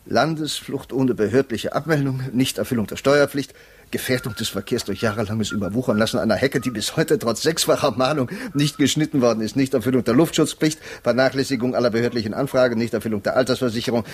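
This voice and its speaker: An actor playing a polite, officious defence lawyer in a 1950s German radio play, formal, hesitant and placating. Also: male voice, medium pitch, quick pace, a little hiss or hum, close to an everyday voice.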